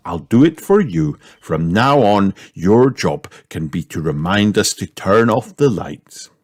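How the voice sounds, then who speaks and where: A man voicing a gentle, encouraging mother pig in a children's storybook, kindly and reassuring. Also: deep voice, very animated, a little slow, heavily acted. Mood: grateful, gentle.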